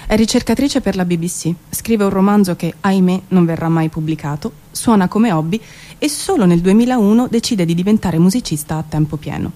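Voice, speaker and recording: A woman's voice: on the low side, fairly quick, dark tone. A woman giving a scripted literary reading of her own poetic prose, like a theatrical monologue. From Italy.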